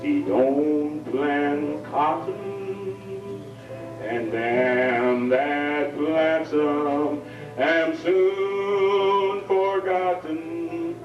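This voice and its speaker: A man singing a slow show tune at a family reunion, with long drawn-out phrases. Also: on the high side, over music. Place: reunion hall. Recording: dull lo-fi home video, roomy hall, mic far off.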